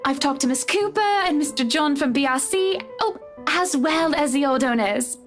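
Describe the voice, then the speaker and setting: A wealthy, self-assured wife in a visual novel. Her voice is very high, with a brisk, chatty, teasing delivery over background music. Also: expressive, a bit bright.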